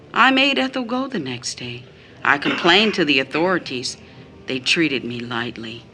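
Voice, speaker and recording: An actress playing a bitter, wounded mother in an audio drama, phrasing deliberately as she recounts her child's mistreatment. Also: sits low, pushed and bright. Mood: indignant, anguished. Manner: deliberate phrasing, quotes others in mimicked tones.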